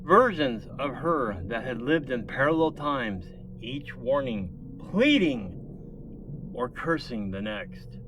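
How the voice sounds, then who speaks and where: A man's voice: medium pitch, expressive, takes it slowly, noisy background. A man reading dramatic scripted science fiction narration as a storyteller.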